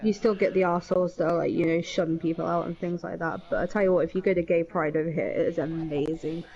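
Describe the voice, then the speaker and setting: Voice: medium pitch, steady tone, fast, gravelly, a little mellow. Chatty voice on a muffled line. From United Kingdom. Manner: chatty, rambling with many fillers. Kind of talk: casual voice chat while gaming.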